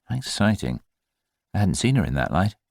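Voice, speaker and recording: A man's voice: low voice, big pitch swings, normal speed, vocal fry, darkish tone, dry recording, studio quality, heavily acted. A man reading the part of a talkative, practical new father in an audiobook, dryly musing aloud. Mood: wry. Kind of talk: audiobook character dialogue.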